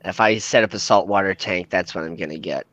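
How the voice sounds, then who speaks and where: A man co-hosting a casual livestream, explaining fish problems in a relaxed way with frequent fillers. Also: low-pitched, a little fast, dry recording, dull audio. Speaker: fish store worker and co-host.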